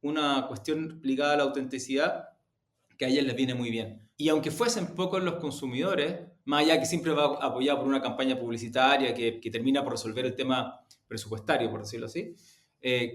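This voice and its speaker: A man with a Chilean accent answering interview questions in a measured, deliberate, halting way. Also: fairly high pitch. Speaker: interview guest, audio production executive. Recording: roomy, echoey sound.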